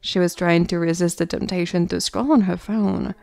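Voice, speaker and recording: A woman narrator with a somewhat low voice. She reads scripted story narration in a dry, close-sounding recording. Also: fairly even intonation, moderate pace, gravelly, darkish tone, no echo.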